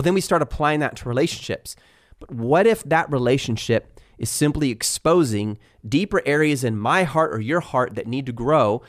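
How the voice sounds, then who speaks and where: Emphatic, animated male podcast host. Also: mid-range voice. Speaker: podcast co-host, business owner. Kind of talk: casual motivational chat.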